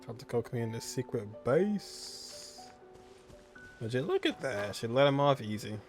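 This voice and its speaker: A man giving casual, colloquial let's-play commentary as a gaming streamer, reacting half to himself in a laid-back way. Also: mid-range voice. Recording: game music faintly behind commentary.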